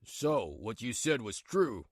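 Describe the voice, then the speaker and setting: A woman's voice: a bass voice, sing-song, takes it slowly, slightly rough, no echo, clean studio sound, voice pushed down, exaggerated voice. A woman voicing a solemn, formal older father-in-law in a manga dub. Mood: stunned.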